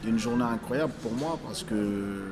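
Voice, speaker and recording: A man born in Africa, a stylist talking as an interview guest, halting and restarting his phrases as he searches for words. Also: mid-range voice, music in the background. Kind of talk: casual interview conversation.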